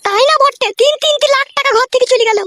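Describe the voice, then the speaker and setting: A performer voicing a sharp-tongued, nagging wife in a comedy cartoon. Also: very high voice, fast, dry recording. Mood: demanding, scolding. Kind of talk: Bengali comedy cartoon dubbing.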